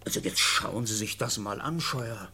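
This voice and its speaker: An actor playing a gruff, impatient police sergeant who barks orders and questions in a German radio drama. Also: male voice, on the low side, moderate pace, pushed and bright, full character voice. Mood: curious, urging. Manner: barking, hesitant with official phrases.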